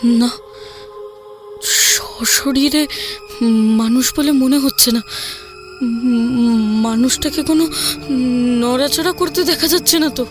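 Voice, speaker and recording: A woman playing a frightened young newly married wife in an audio story, speaking in a hesitant, halting way. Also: high voice, over music. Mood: hushed, fearful. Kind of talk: audio story dramatic acting.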